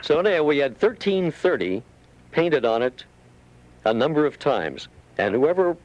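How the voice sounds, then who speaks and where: A man's voice: a little high, sing-song, normal speed, no room sound, dull audio. An older man, a radio veteran appearing as a guest, telling anecdotes in a talk show conversation. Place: radio studio.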